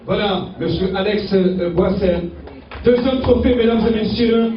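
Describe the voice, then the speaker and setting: A high-pitched man announces award winners at an evening ceremony, calling each guest up with a showman's flourish. He sounds far from the mic, and his voice echoes around a big room. The sound is dull and muffled. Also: a little slow, noisy background. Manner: announcing, repeats "ladies and gentlemen". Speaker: emcee presenting trophies. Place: event hall.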